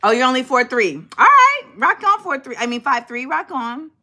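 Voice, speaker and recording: A woman's voice: high-pitched, moderate pace. A woman in her forties hosting a casual livestream, chatty and sassy, talking straight to viewers. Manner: chatty, sassy, animated, reads and answers viewer comments. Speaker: livestream host.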